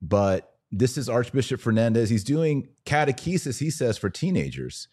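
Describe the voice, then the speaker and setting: A man's voice: mid-range voice, very animated, moderate pace, darkish tone. An American man hosting a podcast, giving conversational religious commentary with a sardonic edge. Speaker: podcast host.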